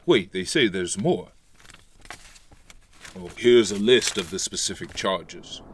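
An actor playing a wrathful, imperious ruler in an audio drama, declaiming in a grandiose, theatrical way. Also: male voice, low voice, lively intonation, slow pace, background noise. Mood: cold disgust. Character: wrathful, imperious male ruler issuing brutal decrees. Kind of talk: scripted audio drama acting.

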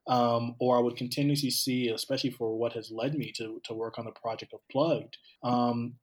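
A man from Cameroon hosting a conversation, talking in a thoughtful, unhurried way and often repeating words as he starts a sentence. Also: medium pitch, low-quality recording. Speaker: podcast host. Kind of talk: casual conversational interview.

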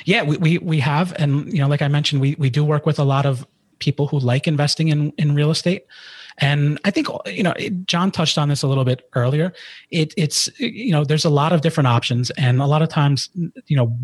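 A man's voice: fairly high pitch, brisk, husky, no echo. A middle-aged man, a financial advisor, explaining plans in a podcast chat with many restarts and fillers. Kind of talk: podcast conversation.

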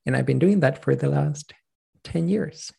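Chilean guy, spanish is his first language, really gravelly voice, kinda husky, rambles and restarts a lot. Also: a little high, moderate pace, mellow. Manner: earnest, rambling, thinks aloud with restarts. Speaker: pronunciation coach, guest. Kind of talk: casual interview talk.

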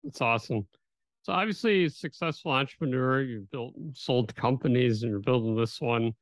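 An enthusiastic male podcast host interviewing a guest, his voice at a middling pitch. The recording is close and dry, with no room echo. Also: normal speed.

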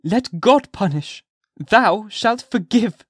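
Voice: medium pitch, animated, takes it slowly, slightly rough, no room sound, studio quality, pitched above the performer's own voice. A reader voicing a desperate woman who confesses a secret and pleads with her lover in old-fashioned, impassioned speech. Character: anguished woman pleading for forgiveness. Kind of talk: audiobook character voice.

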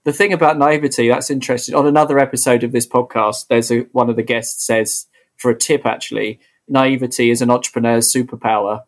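Man, podcast interviewer, friendly, tag questions, mid-pitched voice. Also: fairly even intonation, a little fast.

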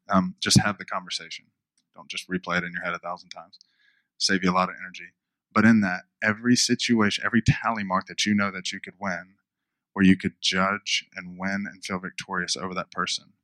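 A man preaching a conversational sermon, repeating phrases for emphasis, with a smooth radio-style voice. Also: sits low, sharp tone, no room sound, low-quality recording. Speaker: pastor.

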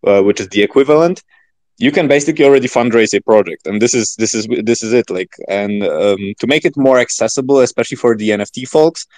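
A man talking as a podcast guest in casual, informal chat, rambling and halting with many filler words. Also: mid-range voice, darkish tone, dry recording. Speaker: podcast guest, project founder.

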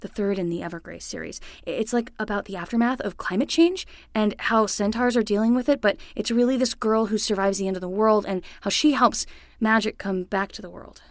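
A low-key teenage girl, acted by a woman, kindly and a little self-conscious. She talks casually and hesitantly in a naturalistic podcast drama, close and without room sound. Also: a little fast, no echo, lightly acted. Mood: sheepish. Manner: casual, hesitant teen talk. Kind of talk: naturalistic fiction podcast acting.

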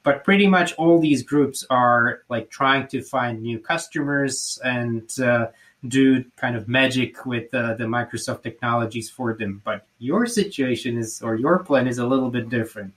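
A man's voice: mid-range voice, moderate pace, fairly bright tone. Slovak-born man hosting a business interview. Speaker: interview host.

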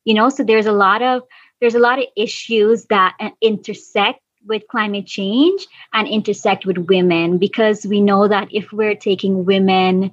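A woman's voice: mid-range voice, steady tone, normal speed, no room sound, lo-fi sound. A woman from Guyana in her thirties, an environmental officer answering interview questions earnestly, thinking aloud as she goes.

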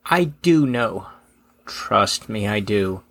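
A woman playing a patient young male sorcerer's apprentice in a comedic fantasy audio drama, dry, measured and long-suffering. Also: extremely low pitch, animated, no room sound, exaggerated voice. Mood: placating.

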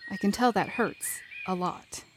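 A woman's voice: medium pitch, a little slow, slight background noise, lightly acted. A woman playing a candid, wry leader and loyal confidante in a fiction podcast drama, with a blunt, teasing manner. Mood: insistent.

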